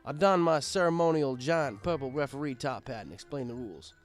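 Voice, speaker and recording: Male story narrator over soft music. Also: mid-range voice, expressive, brisk. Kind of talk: scripted first-person story narration.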